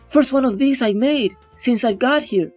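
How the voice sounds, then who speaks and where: An actress playing a world-weary late-night diner waitress, brusque and wry, in a comic-book drama. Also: female voice, high-pitched, moderate pace, darkish tone, faint music behind. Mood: wry, chatty.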